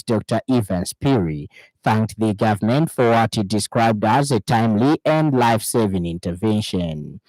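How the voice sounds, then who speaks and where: A man's voice: mid-range voice, darkish tone, no room sound, poor audio. A man with a Zambian accent reading scripted radio news as a presenter in a studio.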